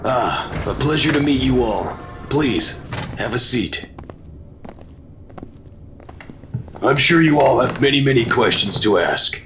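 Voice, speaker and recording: A man's voice: medium pitch, animated, moderate pace, background noise, close to an everyday voice. A man acting as a stern, dismissive senior admiral in a fan audio drama, formal and authoritative. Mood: formal, measured.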